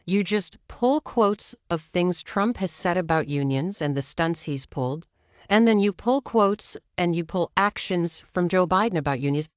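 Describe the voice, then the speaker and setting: A woman's voice: on the low side, expressive, moderate pace, no room sound. An American woman giving opinionated political commentary in an emphatic, conversational way, often posing rhetorical questions.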